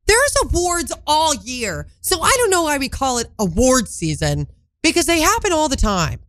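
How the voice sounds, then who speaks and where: A woman's voice: high voice, sing-song, moderate pace, fairly bright tone, no echo. Congested woman co-hosting casual chat. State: congested, voice still recovering. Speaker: radio show co-host. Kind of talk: casual pop culture chit-chat.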